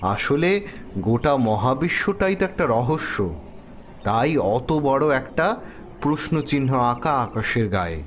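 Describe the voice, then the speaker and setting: Slow, lively affectionate father, played by a man. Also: mid-range voice, slight background noise. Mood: fond, musing. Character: affectionate father teaching his young daughter about stars. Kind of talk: audio story acting.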